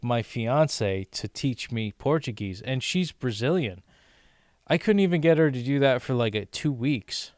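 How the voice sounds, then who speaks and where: A man's voice: medium pitch, dry recording, clean studio sound. A young American man rambling casually to his viewers, with many restarts and fillers. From United States. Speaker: language-learning vlogger.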